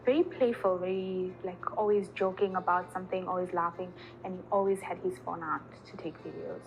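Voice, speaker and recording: A woman from South Africa recounting her story in a recorded interview, speaking softly and shyly. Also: fairly low pitch, steady tone, fairly quick, faint music behind, poor audio.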